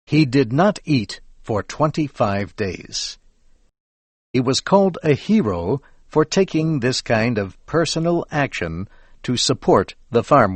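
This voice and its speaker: American man, very slow scripted narration, careful enunciation, medium pitch, dry close sound. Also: husky, no room sound. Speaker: narrator of an educational program for English learners.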